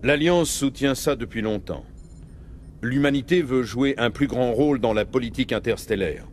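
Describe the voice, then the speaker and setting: A man's voice: mid-range voice, slight background noise, close to an everyday voice. Man playing a firm, serious starship captain. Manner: firm, formal, authoritative. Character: commanding male military starship captain, serious and resolute. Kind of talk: video game cutscene acting in French.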